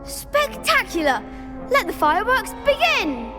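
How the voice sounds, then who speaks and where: A young woman playing a confident schoolgirl who runs a dueling club in a fantasy game, chirpy and brisk. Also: very high voice, wildly swooping pitch, over music, heavily acted. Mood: excited. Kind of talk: scripted video game acting.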